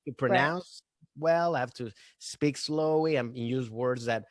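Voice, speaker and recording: A man's voice: mid-range voice, measured pace, no room sound. Young venezuelan guy rambling about comedy, lots of you know and like, dry close mic. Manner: rambling, many restarts and "you know, like" fillers. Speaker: stand-up comedian guest. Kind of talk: casual podcast chat.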